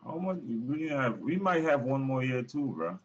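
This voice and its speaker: A man's voice: mid-range voice, sing-song, normal speed, a crowd in the background. A man co-hosting a casual sports chat, laid-back and slangy. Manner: laid-back, slangy, says bro a lot. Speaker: co-host. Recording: muffled lo-fi line.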